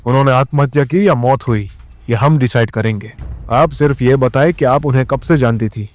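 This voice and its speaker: An actor playing a stern, probing police inspector in an audio drama, firm, formal and authoritative. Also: male voice, mid-range voice, a little fast, faint music behind, natural voice.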